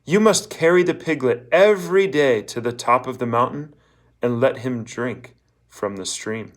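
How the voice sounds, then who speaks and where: A man's voice: medium pitch, unhurried, close to an everyday voice. A man voicing a blunt old woman fortune-teller in a storybook, bossy and emphatic as she hands out scorn and instructions. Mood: instructing. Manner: emphatic, instructing, asks rhetorical questions.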